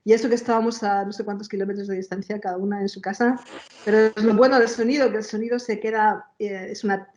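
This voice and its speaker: A woman's voice: medium pitch, fairly even intonation, fast talker, darkish tone. Casting: woman composer in casual interview, fragmented phrasing with tag questions, echoey and slightly muffled sound. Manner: informal, broken phrases, frequent tag questions. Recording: echoey room, dull lo-fi sound.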